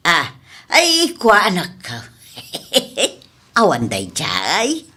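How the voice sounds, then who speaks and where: A woman's voice: on the low side, wildly swooping pitch, extremely slow, bright, forceful tone, slightly rough, full character voice. An older woman playing a proud, grumbling elderly widow who runs an eatery in a radio comedy drama. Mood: coy, flustered. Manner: indignant, complaining.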